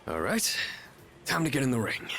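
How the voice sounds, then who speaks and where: An actor voicing a proud but socially awkward male high school senior in a video game. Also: huge pitch swings, moderate pace, creaky, fairly bright tone, faint music behind, full character voice. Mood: nervous, halting. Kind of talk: English video game voice acting.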